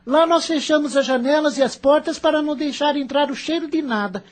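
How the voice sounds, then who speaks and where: A woman's voice: high voice, brisk, darkish tone, natural voice. A woman voicing a bitter, complaining thirteen-year-old boy with a Brazilian accent in an audio novel. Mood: surly, sarcastic. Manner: complaining, sarcastic.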